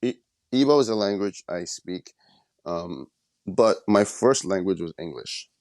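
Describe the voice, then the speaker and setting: A man's voice: sits low, animated, unhurried, a little mellow, no echo, studio quality. A man from Nigeria, a guest on a podcast, answering questions in a reflective way with many "you know"s and "um"s. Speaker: guest artist. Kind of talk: relaxed interview answers.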